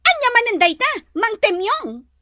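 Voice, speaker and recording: A woman's voice: very high-pitched, sing-song, normal speed, bright, forceful tone, dry recording, studio quality, heavily acted. A woman playing a worried, demanding female resort owner in an Ilocano audio drama. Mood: exasperated.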